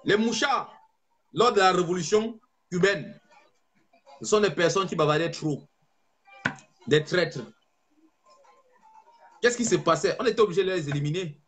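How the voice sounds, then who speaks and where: A man giving an impassioned political speech as an activist, fervent and preaching, stressing slow phrases. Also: high-pitched, expressive, a bit bright.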